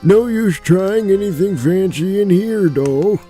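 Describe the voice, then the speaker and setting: A man's voice: sits high, expressive, slow pace, dark tone, faint music behind. A man playing a wry, streetwise, chatty old dwarf jailbird with a casual, sardonic manner in a fantasy audio drama. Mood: wry, world-weary.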